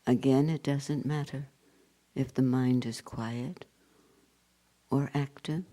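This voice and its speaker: A man leading a guided meditation as a spiritual teacher, speaking in short phrases with long pauses and hushed endings. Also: medium pitch, fairly even intonation.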